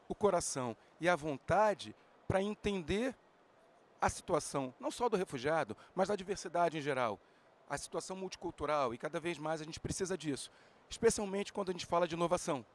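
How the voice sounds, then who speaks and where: A man in his forties hosting a panel, speaking calmly and steadily into a handheld mic in a large event hall. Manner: calm, steady, pitching a project. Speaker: panel host. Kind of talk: presenting talk.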